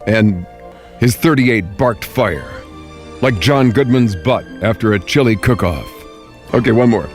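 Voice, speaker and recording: A man's voice: on the low side, expressive, measured pace. A man hosting a radio show with comedic banter and a theatrical, jokey delivery. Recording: music bed under the voice. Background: music.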